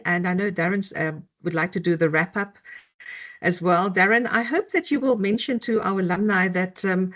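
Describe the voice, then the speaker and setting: A woman moderating an online alumni event, speaking with many fillers and hesitations. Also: on the low side. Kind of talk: moderating a video call.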